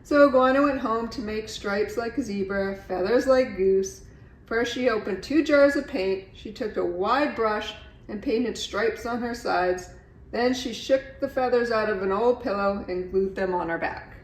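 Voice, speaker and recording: A woman's voice: fairly high pitch, measured pace, distant mic. A middle-aged woman reading a children's story aloud expressively, as a storyteller. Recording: echoey room, faint background noise.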